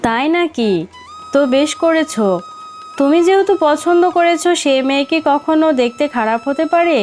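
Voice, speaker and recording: A woman voicing a gentle household matriarch. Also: high voice, normal speed, lightly acted. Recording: loud background music.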